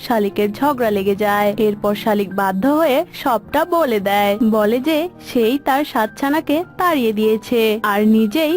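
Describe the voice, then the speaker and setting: A woman's voice: fairly high pitch, moderate pace, faint music behind. A woman reading scripted narration for a children's cartoon story.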